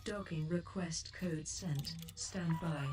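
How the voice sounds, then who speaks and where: Composed female ship computer for a space game: lowish, formal, helpful, under heavy noise. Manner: measured, formal. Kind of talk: scripted game voice assistant.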